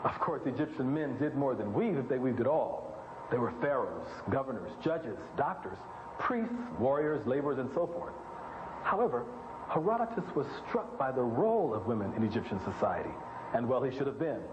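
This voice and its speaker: Male presenter, deliberate lecture-style narration, emphatic phrasing, roomy and dull lo-fi recording. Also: mid-range voice, very animated. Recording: roomy, noisy, dull lo-fi audio.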